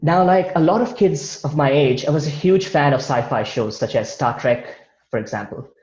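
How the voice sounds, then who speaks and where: A man presents a prepared talk over a video call. He reads from notes at an even, careful pace and sometimes restarts a word. The sound is roomy and dull. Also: a little high. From India. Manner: measured, read-from-notes delivery with occasional restarts. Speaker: guest speaker. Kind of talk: online presentation over slides.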